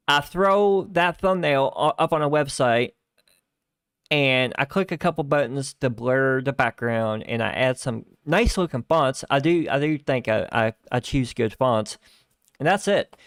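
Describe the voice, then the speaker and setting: A man hosting a live stream in casual chat, rambling with lots of fillers and restarts, on a starter microphone that lacks bass. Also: unhurried, fairly bright tone, clean tone, no room sound, studio quality. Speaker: livestream host. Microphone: starter desk microphone lacking bass.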